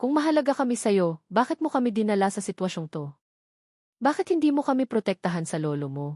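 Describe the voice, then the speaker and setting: A woman's voice: mid-range voice, animated, brisk, a little mellow, no echo, clean studio sound, lightly acted. A woman voicing a wary, firm young pregnant woman in an audiobook romance drama, with earnest resolve. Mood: frustrated accusing.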